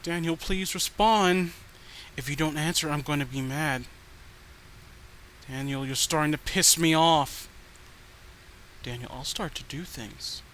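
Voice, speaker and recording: A man's voice: fairly high pitch, unhurried, pauses often, a bit bright. A man voicing an unhinged, possessive girlfriend who is volatile, pleading and cloying, in a horror story reading. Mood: angry, threatening. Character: unhinged clingy murderous girlfriend, possessive, swinging from rage to sweetness. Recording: noticeable background noise.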